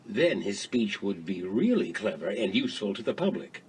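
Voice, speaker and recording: A man playing a wry, teasing ancient Greek philosopher in a measured dramatised dialogue reading. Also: fairly low pitch, very animated, a little mellow, a little hiss or hum. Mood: wry, eager.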